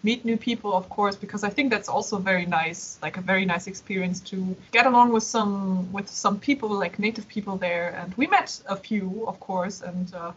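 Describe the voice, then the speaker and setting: Chatty young woman, husky lo-fi voice. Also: mid-range voice, normal speed, hardly any pauses. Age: young adult. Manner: animated, many "like" and "you know" fillers. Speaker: podcast guest. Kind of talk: casual interview chat. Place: Berlin. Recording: dull lo-fi sound.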